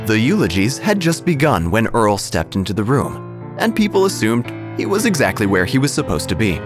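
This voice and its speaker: Male narrator: deadpan, lively pitch, music bed under. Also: normal speed. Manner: dry, deadpan delivery. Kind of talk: scripted comic narration.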